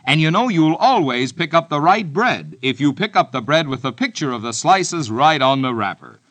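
Bright-voiced vintage radio ad announcer. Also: male voice, a little high, expressive, normal speed, no room sound. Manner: cheerful, addressing children.

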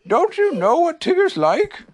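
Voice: very high voice, wildly swooping pitch, a little slow, voice pushed up, exaggerated voice. A reader doing a dreamy, musing teddy bear. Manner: musing, pleased with himself. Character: gentle, dreamy teddy bear who makes up poems. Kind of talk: bedtime storybook reading.

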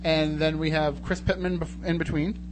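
A man's voice: fairly high pitch, flat delivery, moderate pace. A man hosting a radio show in a casual, joking, chatty style. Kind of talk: casual chat. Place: radio studio. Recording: noisy studio audio with hum.

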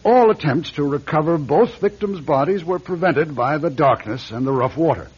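Smug calculating chairman, American, husky, swinging intonation, radio drama, muffled sound. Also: fairly high pitch, lively intonation, moderate pace, a little mellow. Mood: formal, reading aloud. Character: smug, calculating board chairman, casually cold schemer. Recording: dull radio drama recording.

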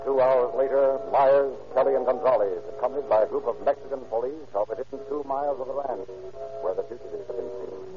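A man narrates an old radio crime drama in a formal announcer's delivery. His middle-pitched voice carries the scripted story with dramatic weight. The vintage recording is dull, with music underneath. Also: fairly quick, pauses often.

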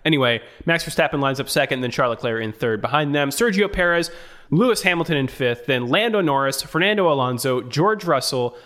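A man's voice: a little high, lively intonation, fairly quick, fairly bright tone. A man hosting a motorsport podcast, presenting in an upbeat, casual way as he moves through names and commentary.

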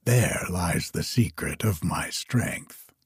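A man voicing a sly, boastful male dragon in a low, mocking, whispery voice. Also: expressive, slow pace, slightly rough, dry recording, studio quality, full character voice.

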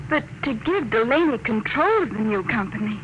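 High, bright, anxious young woman. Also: big pitch swings, moderate pace, rough recording. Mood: puzzled, hopeful. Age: young adult. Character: anxious, pleading young woman caught in intrigue. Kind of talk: 1950s radio drama acting. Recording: old radio recording with faint noise.

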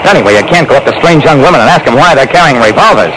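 A man's voice: mid-range voice, expressive, rapid-fire, close to an everyday voice. An actor playing a private detective who narrates his casebook in old-time radio style, on a noisy vintage recording. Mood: polite.

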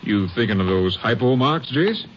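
A man plays a brisk American lawman captain who is plainly in charge. He is businesslike and plain-spoken in old radio western style, on a muffled recording with faint noise. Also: mid-range voice, expressive, lightly acted. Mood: probing, open-minded. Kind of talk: 1950s western radio drama acting.

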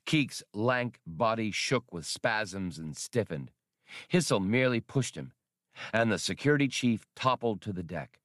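A man's voice: fairly low pitch, animated, unhurried, a bit bright, no echo, studio quality. A man reading adventure fiction aloud as an audiobook narrator, in a dramatic scripted style. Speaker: audiobook reader.

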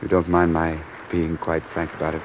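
An actor playing a genial, frank British submarine commander with hearty officer's slang in an old-time radio drama. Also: male voice, rumbling low, monotone, normal speed. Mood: frank, confiding. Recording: muffled, noisy old radio recording.

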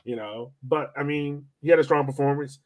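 A man's voice: on the high side, moderate pace, a little mellow. An American man co-hosting a sports podcast, talking casually through analysis of a soccer match.

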